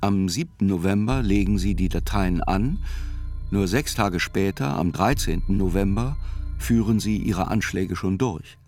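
A man narrating a documentary radio drama as a sober chronicler, in a measured, precise and reflective way. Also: sits low, darkish tone. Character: male chronicler soberly reconstructing terror attacks. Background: faint music.

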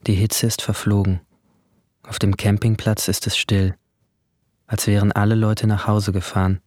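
A man's voice: lowish voice, flat delivery, moderate pace, darkish tone, no echo, clean studio sound, natural voice. A young man playing a guilt-ridden, withdrawn seventeen-year-old boy in an intimate radio drama, speaking in a subdued, hesitant way. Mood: melancholy.

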